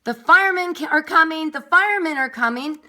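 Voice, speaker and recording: Woman acting a high, emphatic, kindly storybook lady. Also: expressive, brisk, sharp tone. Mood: anxious calling. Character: kindly, fretful cat-loving woman in a children's storybook.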